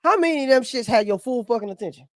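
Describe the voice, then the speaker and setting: A woman's voice: fairly high pitch, lively intonation, quick pace, no echo, clean studio sound. A woman with an American accent hosting a casual podcast discussion, emphatic and slangy, swearing freely. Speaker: podcast host.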